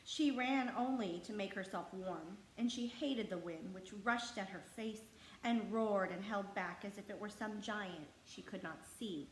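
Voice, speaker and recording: A woman narrating a children's storybook aloud in an expressive, scripted read-aloud style. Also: mid-range voice, measured pace. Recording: echoey room with faint background noise.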